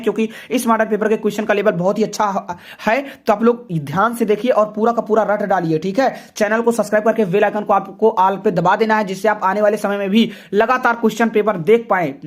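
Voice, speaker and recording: A man teaching a class, working through a science model exam paper with students in a chatty, repetitive way. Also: high voice. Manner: chatty, calls students "friends", repeats words often. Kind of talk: exam paper walkthrough lesson.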